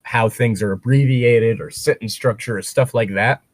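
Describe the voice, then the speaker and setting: A man's voice: mid-range voice, normal speed, no room sound. A man chatting casually between bits of reading fan fiction aloud, rambling and breaking off to comment or swear.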